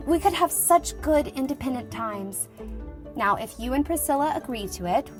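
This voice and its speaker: A woman playing a lively young schoolteacher reading out her letter in a conversational, wryly humorous way, in an acted audiobook. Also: a little high, close to an everyday voice. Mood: eager, friendly. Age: young adult. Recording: music playing behind the voice.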